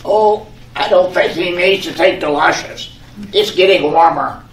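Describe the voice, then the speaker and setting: A man's voice: high-pitched, a little slow. A man playing a helpful, easygoing cab driver in an old-time radio comedy sketch. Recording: echoey room, distant mic.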